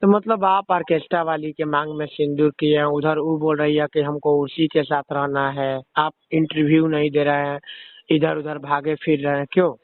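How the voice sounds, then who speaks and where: A tinny phone line carries a man's fairly high voice. He has a Bhojpuri accent and works as a reporter, interviewing by phone. His questioning is pushy, confrontational and scolding. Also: little pitch movement, moderate pace, no echo. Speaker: media reporter questioning a caller. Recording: tinny, band-limited phone call.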